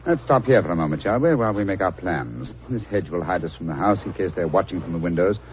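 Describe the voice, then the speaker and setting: An actor playing an incisive, coolly commanding English detective with a British accent in a 1940s radio drama. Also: male voice, low-pitched, lively intonation, quick pace. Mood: grave, incisive. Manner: precise, clipped, polite. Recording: muffled, noisy 1940s radio recording.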